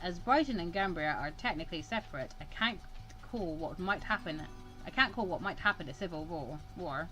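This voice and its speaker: A stern male mentor, acted by a woman in a visual novel. He lectures in a lowered, measured voice, dry and condescending. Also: animated, fairly bright tone, faint music behind, poor audio. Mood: measured, instructive.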